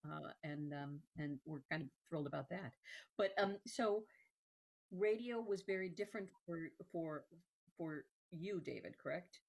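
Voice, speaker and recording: A woman hosting a conversational interview, often halting and repeating words as she thinks. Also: sits low, vocal fry, a little mellow. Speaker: host.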